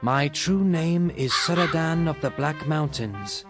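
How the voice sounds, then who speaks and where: A man's voice: a little high, a little slow, over music. A man playing a grave, imperious elf noble in a video game, formal and commanding. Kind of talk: video game cutscene acting.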